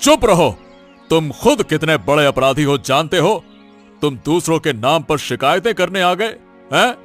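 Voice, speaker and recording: A man plays an authoritative village headman in a cartoon fable. His voice is somewhat high for a man. Faint music sits behind the dialogue. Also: lively intonation, a little slow, a bit bright. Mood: stern, commanding. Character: stern authoritative village headman passing judgment. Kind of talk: Hindi cartoon moral-story voice acting.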